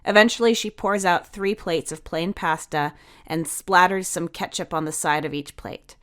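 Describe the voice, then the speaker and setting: A woman's voice: lowish voice, moderate pace. A woman narrator reading a scripted fictional story.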